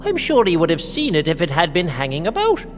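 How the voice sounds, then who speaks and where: A man playing a folksy, excitable Irish palace keeper in a children's audio drama. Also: fairly high pitch, fairly quick, in a large hall, faint music behind. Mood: flustered, chivvying.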